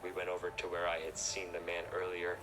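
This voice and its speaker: A man's voice: sits low, monotone, a little fast. A man reading a scripted first-person horror story as narrator. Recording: noisy recording.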